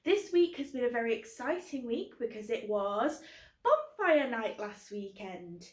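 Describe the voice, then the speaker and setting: British female children's host, fairly high voice, simple direct address, roomy echo. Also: very animated, unhurried. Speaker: host of a children's book club. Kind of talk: children's presenting. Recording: echoey room.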